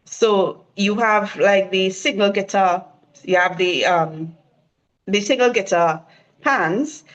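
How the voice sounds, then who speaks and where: A woman's voice: mid-range voice, takes it slowly, low-quality recording. A woman with a Trinidadian accent, chatting as a guest and explaining things with enthusiasm. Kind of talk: casual conversation.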